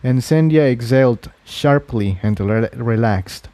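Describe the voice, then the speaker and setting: A man narrates fiction in a dark, mellow voice at a medium pitch. The recording is dry and close. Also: unhurried, no echo. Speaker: audiobook narrator. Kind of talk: scripted story narration.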